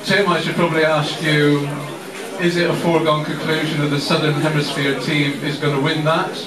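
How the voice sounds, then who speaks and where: A man's voice: a little high, level tone, normal speed. A man interviewing two rugby players at a live event, chatty and joking. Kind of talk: live Q&A hosting. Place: bar with a crowd, indoors. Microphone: handheld mic, far camera. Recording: roomy bar, distant camera, loud crowd.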